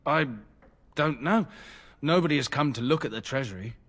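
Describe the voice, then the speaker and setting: An actor playing a flustered, deferential castle guard in a fantasy video game, hesitant and stammering. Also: male voice, fairly high pitch, normal speed, fairly bright tone. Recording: long hall-like reverb.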